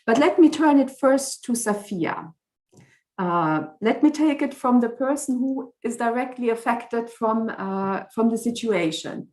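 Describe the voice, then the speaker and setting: A woman moderating an online debate over a video call, speaking in a formal, measured and orderly way. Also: on the high side, lively intonation, a little mellow, echoey room.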